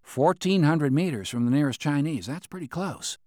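A man's voice: a little high, animated, normal speed, mellow, dry recording, studio quality, pitched above the performer's own voice, natural voice. A man voicing a confident American intelligence major who sounds matter-of-fact and slightly teasing.

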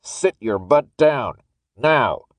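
A man's voice: mid-range voice, lively intonation, normal speed, no echo, lightly acted. A man voicing a stern, angry armed guard in an audiobook, barking curt, menacing orders. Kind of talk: audiobook character dialogue.

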